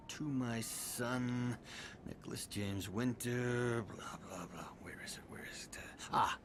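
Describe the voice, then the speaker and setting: A man's voice: medium pitch, slow pace. A man playing a bitter, sardonic estranged son of a tycoon in a video game cutscene, speaking with dry weariness. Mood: frustrated, weary. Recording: noisy game capture.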